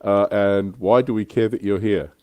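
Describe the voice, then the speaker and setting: A man's voice: on the low side, steady tone, normal speed, a little mellow, no room sound. A man with an Australian accent hosting a casual livestream chat in a dryly joking manner. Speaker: show host.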